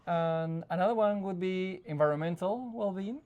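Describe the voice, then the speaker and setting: A man from Argentina, a psychologist guest, talking in a relaxed interview, thoughtful and often pausing to find his words. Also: high-pitched, lo-fi sound. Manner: thoughtful, searching for words, frequent restarts, laughs easily. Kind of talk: casual conversational interview.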